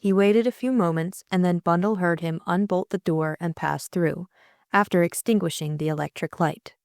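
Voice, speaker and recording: Young woman reading a novel aloud, slightly low voice, no room echo. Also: moderate pace, a little mellow, clean studio sound. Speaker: audiobook narrator and co-host. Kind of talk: audiobook narration and casual discussion.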